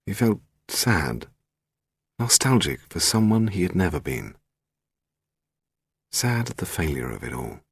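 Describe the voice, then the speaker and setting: Male audiobook narrator, British, expressive scripted storytelling, creaky low voice, close dry sound. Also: no echo.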